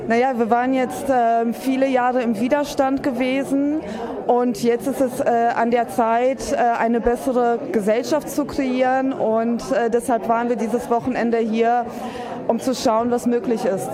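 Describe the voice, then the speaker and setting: Woman, high-ish voice, hesitant, crowd noise behind. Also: flat delivery, a little slow. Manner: hesitant with many fillers. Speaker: congress attendee. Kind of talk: interview answer.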